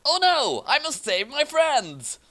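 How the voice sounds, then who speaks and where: A very high-pitched, earnest story hero, voiced by a man reading aloud. The delivery is melodramatic and bright, in the manner of a parody tale. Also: sing-song, slow, exaggerated voice. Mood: mock-heroic alarm.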